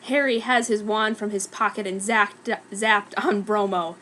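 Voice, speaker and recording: A woman's voice: medium pitch, steady tone, a little slow, sharp tone, a little hiss or hum. A woman giving a dramatic, mock-serious reading of a scripted story as narrator. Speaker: reader of a fan story.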